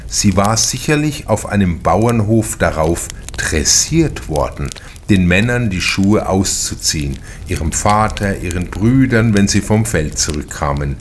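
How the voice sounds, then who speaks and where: A man reading a crime novel as an audiobook narrator, in a measured, deliberate manner with careful pauses. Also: sits low, animated, slight background noise.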